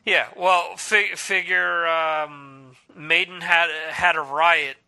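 A man's voice: on the high side, steady tone, slow, vocal fry, sharp tone, rough recording. A man in his forties chatting casually on a podcast, loose and profane, laughing often. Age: 40s. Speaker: podcast host. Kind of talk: casual chat. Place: lives in Spain.

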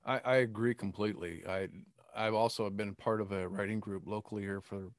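Guy podcast host, lowish voice, really dry sound, casual and agreeable, kinda halting questions. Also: steady tone, no room sound. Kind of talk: casual interview chat.

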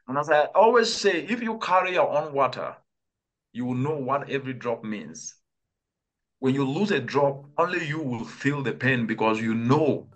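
A man's voice: mid-range voice, a bit bright. A man from Cameroon, a climate expert, answering interview questions earnestly and with rhetorical questions. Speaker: guest, climate change expert.

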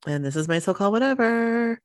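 A woman in her thirties co-hosting a podcast, telling stories in relaxed casual chat with lots of fillers, a bit sniffly from the cold. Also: a little high, fairly quick, no room sound, studio quality. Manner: relaxed storytelling with many fillers. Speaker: podcast co-host.

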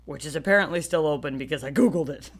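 A woman's voice: low-pitched, quick pace, husky. An American woman co-hosting a podcast, telling stories in a casual, slangy, self-deprecating comic way. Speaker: podcast co-host. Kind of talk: casual comic storytelling chat.

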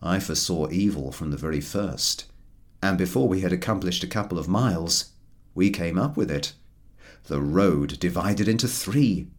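A man reading a comic travel story aloud as scripted narration, in a dry, drolly expressive way. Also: low voice. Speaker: audiobook reader.

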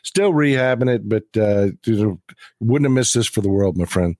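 A man with a cold and a slightly hoarse voice, telling a travel story enthusiastically as a podcast guest. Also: lowish voice, animated, normal speed, a little mellow, dry recording, clean studio sound. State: has a cold, voice a bit hoarse. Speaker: regular guest. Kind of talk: casual podcast chat.

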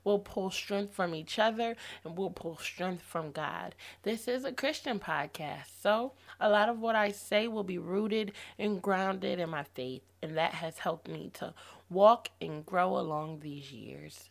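A woman in her thirties hosts a faith-based podcast, her voice hoarse and gravelly from losing it. She talks to her listeners in an upbeat, encouraging way at a middle pitch. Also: measured pace. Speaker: podcast host.

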